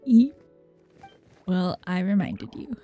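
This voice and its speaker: A woman's voice: on the low side, very animated, slow. A woman game streamer chatting casually and reacting while she plays. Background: game music.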